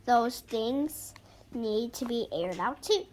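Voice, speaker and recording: A young girl with a very high, lively voice reads a picture book aloud. She goes slowly, halting over harder words and adding her own reactions. It is a home recording with faint noise. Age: young child. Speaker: child reader.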